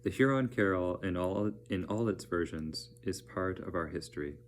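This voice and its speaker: A man reading educational narration aloud as a teacher, in a measured, plain-spoken manner. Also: deep voice, a little hiss or hum.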